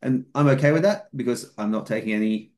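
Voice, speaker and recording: A man's voice: medium pitch, fast talker, darkish tone. A man speaking as a podcast guest in a casual chat, explaining things methodically at length, with fillers and restarts. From outside New Zealand. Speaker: podcast guest, fitness coach.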